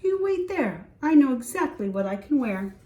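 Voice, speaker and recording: A woman's voice: high-pitched, sing-song, moderate pace, warm tone, pitched above the performer's own voice. A woman voicing a small, stubborn, self-assured little girl in a storybook, speaking emphatically. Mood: insistent. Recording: roomy echo, distant from mic.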